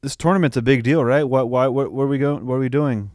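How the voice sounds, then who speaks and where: A man co-hosting a podcast, chatting casually and thinking aloud in loose, halting sentences. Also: mid-range voice, fairly even intonation, a little mellow, dry recording, studio quality. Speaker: podcast co-host. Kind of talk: casual chat, film review.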